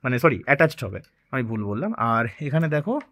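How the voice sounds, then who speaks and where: A man's voice: mid-range voice, very animated, a little fast, lo-fi sound. Male vlogger, mid-range voice, informal hands-on installation walkthrough with English words mixed in. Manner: mixes English words into explanations. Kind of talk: casual hands-on installation tutorial.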